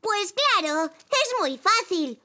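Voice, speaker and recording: High-pitched eager little zebra boy. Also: sing-song, slow, full character voice. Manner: bright, explaining eagerly. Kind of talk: animated children's cartoon dubbing.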